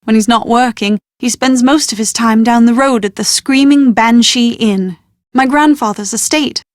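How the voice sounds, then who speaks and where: Actress as genteel young British bride, game dialogue; high, dry-recorded voice, proud, exasperated but sure of herself. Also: female voice, normal speed, no room sound, natural voice. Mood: mildly disdainful. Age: young adult. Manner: prim, articulate, genteel phrasing. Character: well-bred young bride-to-be, proud, exasperated yet confident. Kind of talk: scripted video game dialogue.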